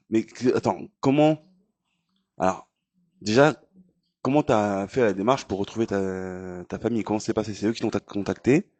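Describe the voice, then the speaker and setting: Playful, curious low-voiced male interviewer. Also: normal speed, pauses often, darkish tone. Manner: playful, curious, tongue-in-cheek. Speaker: interviewer host. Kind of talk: casual interview chat.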